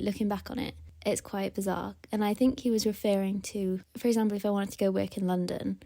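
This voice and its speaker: A young woman from South Wales speaks softly and thoughtfully in a recorded interview, pausing often. Her voice is mid-pitched with a mellow, slightly gravelly edge. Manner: soft-spoken, reflective, hesitant with fillers.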